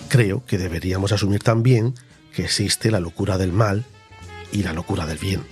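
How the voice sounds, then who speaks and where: Low-voiced man hosting a podcast. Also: normal speed, over music. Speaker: podcast host. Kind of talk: interview and scripted sign-off.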